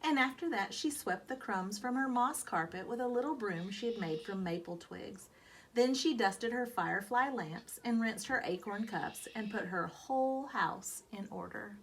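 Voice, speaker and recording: A woman's voice: medium pitch, normal speed, poor audio. A woman reads a children's story aloud expressively, speaking to her young listeners like a teacher. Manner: expressive, explanatory, questioning the listeners. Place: outdoors. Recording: background noise from reading outdoors. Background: outdoor ambient noise.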